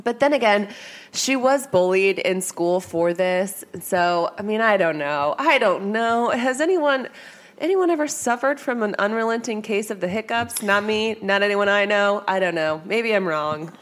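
A woman hosting a true-crime podcast, her voice stuffy from a cold and a little worn out. She chats casually and hedges a lot, with plenty of ums and likes. Her pitch sits in an ordinary middle range. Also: lively intonation, normal speed. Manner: chatty, hesitant, lots of fillers. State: congested with a cold, tired. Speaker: podcast host.

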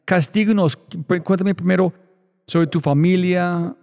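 Excitable podcast host guy, highish voice, hypes everything up. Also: measured pace, creaky. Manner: enthusiastic, emphatic repetitions. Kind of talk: energetic podcast intro and interview.

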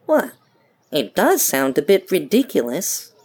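A woman's voice: lowish voice, wildly swooping pitch, unhurried, darkish tone, full character voice. A woman playing a weary, guarded, sceptical young woman in an audio drama, with a dry, curt, questioning manner.

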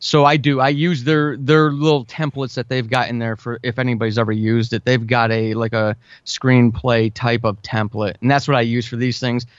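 A man's voice: medium pitch, level tone, moderate pace, hardly any pauses, no echo, lo-fi sound. A man chatting informally and earnestly as an interview guest, full of enthusiasm for his craft. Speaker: interview guest, audio drama writer. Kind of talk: casual chat.

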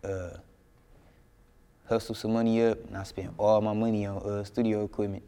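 A young man from Flint, Michigan, a rapper telling stories in a casual interview, laid-back and slangy, often trailing off quietly. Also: lowish voice, little pitch movement, normal speed, clear, resonant tone, in a large hall. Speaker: rapper guest. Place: indoor interview room.

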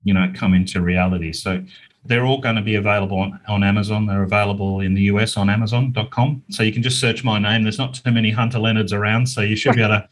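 A man's voice: on the low side, steady tone, quick pace. A middle-aged or older man with an Australian accent, explaining things earnestly as an interview guest. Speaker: interview guest, business author. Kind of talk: conversational interview answers.

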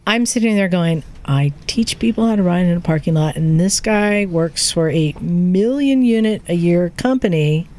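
A woman chatting casually as a podcast co-host, telling a story in an animated way and acting out what people said. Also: on the low side, moderate pace, few pauses. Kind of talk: casual storytelling in a group chat.

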